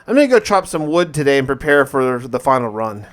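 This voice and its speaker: A man narrating gameplay as a dramatic first-person survival diary, in an emphatic, theatrical way with drawn-out words. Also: mid-range voice, very animated. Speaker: gaming video host.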